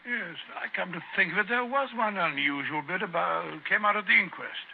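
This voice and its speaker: An actor playing a genial middle-aged English doctor in an old-time radio drama, with a chatty, slightly fussy, hesitant delivery. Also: male voice, high voice, brisk, vocal fry. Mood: recalling, puzzled. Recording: tinny, noisy old radio recording.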